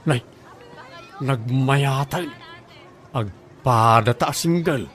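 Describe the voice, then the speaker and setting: A man playing a simple, excitable middle-aged bachelor in an Ilocano radio comedy drama, with an Ilocano accent. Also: mid-range voice, drawn-out words, some chatter behind. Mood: eager.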